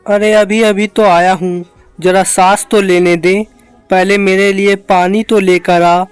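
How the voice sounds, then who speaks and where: Tired fisherman husband played by a man, flat delivery. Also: high voice, measured pace, clean tone, no room sound, soft background music. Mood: weary. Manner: weary, pleading. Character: tired, poor fisherman husband coming home from work.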